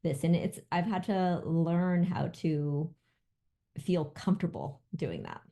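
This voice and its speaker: American woman guest chatting thoughtfully, low mellow voice, bit of creak. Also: big pitch swings, takes it slowly. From United States, born in Vietnam. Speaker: podcast guest, business founder and CEO. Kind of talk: conversational interview, personal reflection.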